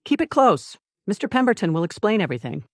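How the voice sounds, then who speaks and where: A narrator voicing a tender, dying old grandmother in an audiobook, gentle but urgent. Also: mid-range voice, very animated, fast talker, no echo, studio quality, voice pushed up. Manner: gentle, urgent last words.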